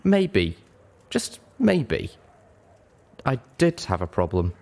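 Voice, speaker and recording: A man reading a story aloud as narrator in an expressive audiobook style. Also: mid-range voice, takes it slowly.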